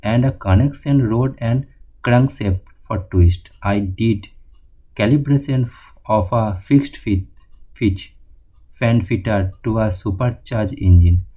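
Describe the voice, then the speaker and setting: A man reading an autobiography aloud, halting, with frequent slips and restarts. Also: lowish voice, mellow, low-quality recording. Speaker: audiobook reader.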